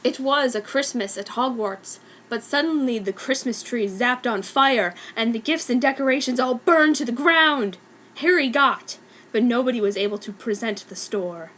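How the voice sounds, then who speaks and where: A woman's voice: on the high side, moderate pace, a bit bright. A woman giving a dramatic, mock-serious reading of a scripted story as narrator. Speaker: reader of a fan story.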